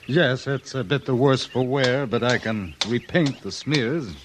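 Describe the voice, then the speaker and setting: A man's voice: mid-range voice, unhurried, background noise, natural voice. A man playing a well-spoken, wry and aggrieved painter with a mannered delivery in a 1950s radio western. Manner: articulate, mannered. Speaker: supporting actor in a western radio drama.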